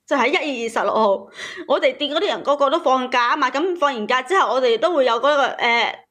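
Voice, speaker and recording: Female caller, Cantonese accent, very high voice, emotional first-person storytelling with emphatic repetition. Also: fast, fairly bright tone. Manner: agitated, emphatic, repeats phrases. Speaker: caller telling her own story.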